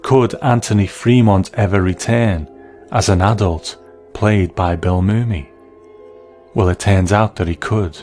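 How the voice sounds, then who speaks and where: A man's voice: fairly low pitch, fairly even intonation, a little slow, darkish tone, soft background music. A man presenting scripted narration as the narrator of a show.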